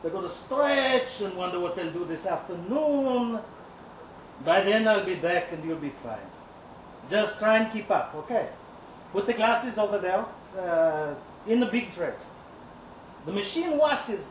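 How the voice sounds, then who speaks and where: A man's voice: sits high, expressive, unhurried. A man reading a dramatic monologue live, playing a cocky, lovesick, resentful kitchen worker in a colloquial, confiding, rambling way. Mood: brisk, instructing. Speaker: story reader. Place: indoor live reading event. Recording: roomy, distant live-event recording, faint noise.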